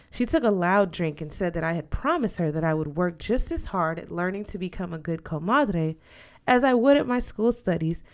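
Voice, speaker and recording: A woman reading a first-person story aloud as an expressive scripted narration. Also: on the low side. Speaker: audiobook reader.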